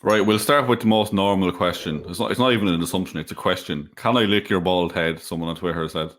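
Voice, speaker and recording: A man with a very low voice reads out listener questions in a relaxed, casual way. He adds dry, teasing comments between them. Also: fast talker. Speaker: co-host reading questions. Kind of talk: casual chat.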